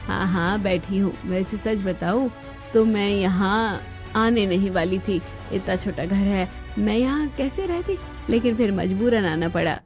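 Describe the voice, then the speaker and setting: A woman voicing a melodramatic, expressive woman in a Hindi family cartoon, speaking in a theatrical, exclamatory way. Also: mid-range voice, a little slow, faint music behind, lightly acted. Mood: haughty. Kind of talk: Hindi cartoon drama dubbing.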